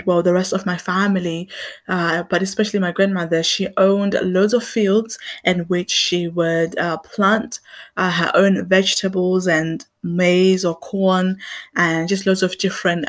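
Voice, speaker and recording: A woman's voice: lowish voice, steady tone, a little slow. A young adult woman from Zimbabwe hosting her own podcast, talking in an earnest, reflective way. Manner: earnest and reflective, with small laughs. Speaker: podcast host. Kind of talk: personal commentary monologue.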